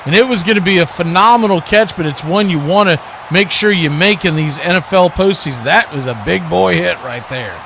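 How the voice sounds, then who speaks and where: An American man with a fairly high voice commentates live football. His delivery is folksy and animated, rising into loud calls as plays unfold. A clear rumble of noise sits behind him. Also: brisk. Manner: folksy exclamations. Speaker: play-by-play sports commentator on a radio watch party.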